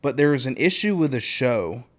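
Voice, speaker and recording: A man's voice: mid-range voice, lively intonation, normal speed. Eager, enthusiastic male podcast host. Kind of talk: casual podcast interview.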